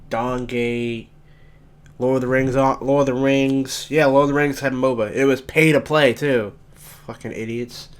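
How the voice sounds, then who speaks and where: A young man with a raspy, just-woken-up voice, talking bluntly and swearing as he rants in a casual solo commentary. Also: medium pitch. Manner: blunt, ranting, swears often. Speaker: gaming commentator.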